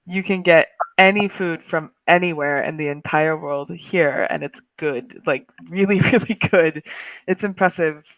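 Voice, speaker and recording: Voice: medium pitch, unhurried, fairly bright tone, dry recording, low-quality recording. An English tutor chatting casually on a video call, laughing often and saying "like" a lot.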